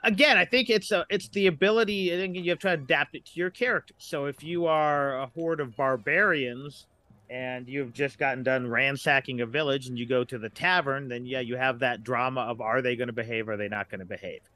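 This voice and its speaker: A man's voice: medium pitch, a little fast, sharp tone. A middle-aged man with an American accent talking casually as a podcast guest, thinking aloud in a rambling way.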